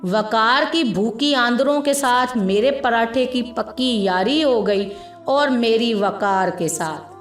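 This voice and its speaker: A woman's voice: fairly high pitch, takes it slowly, reverberant, faint music behind. A woman narrating a scripted story in a dramatic storytelling style.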